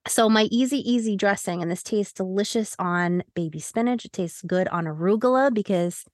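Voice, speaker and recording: A woman with a medium-pitched voice hosts a chatty, casual podcast. She is playful and jokey, and the sound is very dry, without room echo. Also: moderate pace, darkish tone. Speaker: podcast co-host.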